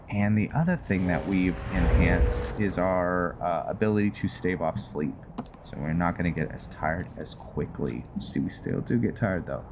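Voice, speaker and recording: Man, slightly low voice, very slow pace, casual game narration, halting, thinking aloud. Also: noisy background. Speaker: host of a gaming roleplay series. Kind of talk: casual gameplay commentary with story narration. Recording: music behind the voice. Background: music.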